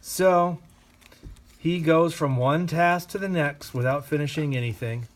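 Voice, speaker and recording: A man reading a children's picture book aloud with gentle, expressive storytelling. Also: on the high side, measured pace. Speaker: reader.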